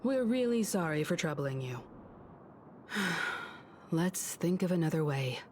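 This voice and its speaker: A woman's voice: low-pitched, very animated, measured pace, dark tone, slight background noise. A woman voicing a polite, composed and tactful young companion in a video game. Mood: apologetic.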